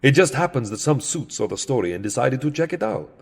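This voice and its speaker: A man's voice: medium pitch, very animated, fast, creaky, breathy edge, heavily acted. A man playing a centuries-old vampire count in a comedic audio drama, fussy, sardonic and theatrically wordy. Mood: wry, chatty. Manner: theatrical, wry, fussily verbose.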